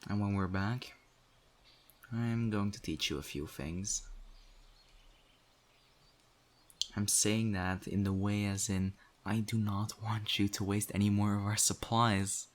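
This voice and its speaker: A stern survivor of the undead apocalypse, acted by a man, with a fairly low male voice. He talks straight at the listener in an earnest, reproving way. His pauses are deliberate and pointed, as in an intimate audio roleplay drama, and he sounds exasperated yet principled. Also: steady tone, natural voice.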